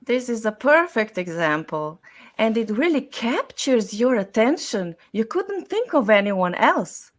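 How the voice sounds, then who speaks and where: A woman speaking as an expert guest in an interview, explaining her points deliberately in short, emphatic phrases. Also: a little high, sing-song. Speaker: style and communication expert guest.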